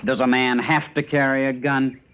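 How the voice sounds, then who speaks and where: An actor playing a surly, evasive drifter in an old western radio drama, answering in sullen, folksy clipped lines on a dull recording. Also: male voice, mid-range voice, flat delivery, moderate pace, smooth, natural voice. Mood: sullen, defensive.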